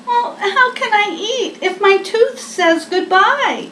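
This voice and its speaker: A woman's voice: extremely high pitch, expressive, slow, pushed and bright, pitched above the performer's own voice, full character voice. A woman voicing a worried storybook bear with a loose tooth, speaking in a plaintive, fretful way. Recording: echoey room, distant mic, faint noise.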